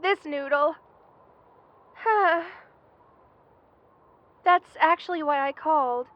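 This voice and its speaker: A woman voicing a young lamia best friend in an intimate ASMR roleplay, affectionate and hesitant, with a soft-hearted manner. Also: high-pitched, drawn-out words, dull audio. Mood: sheepish, caring.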